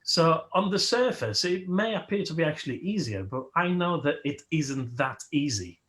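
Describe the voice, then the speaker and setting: A man whose first language is Polish, leading a worship service as its host and speaking slowly and reflectively, with emphatic rises, over a video call. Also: on the high side, expressive, a bit bright. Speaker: worship leader. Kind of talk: sermon.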